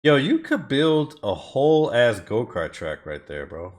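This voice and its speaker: A man giving casual, joking commentary while streaming a racing game, relaxed and slangy. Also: medium pitch, a little slow. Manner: laid-back, slangy, joking.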